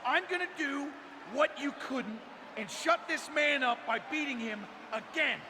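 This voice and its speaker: Casting: hot-headed game wrestler, blunt, raised and very high, echoing through a noisy arena. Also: male voice, unhurried. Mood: furious resolve. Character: hot-headed, betrayed young male champion wrestler. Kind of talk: dramatic wrestling confrontation.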